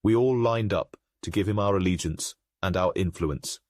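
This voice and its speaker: A man playing a grave, remorseful aristocratic father in a comic dub, speaking in a formal, measured way. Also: low voice, a little mellow, dry recording, lightly acted. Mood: solemn, remorseful.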